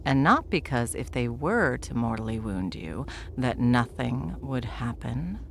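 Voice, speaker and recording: A woman playing a smug, world-weary veteran witch in a fantasy audio roleplay, dry, condescending and unhurried. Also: rumbling low, very animated, gravelly, slight background noise, heavily acted.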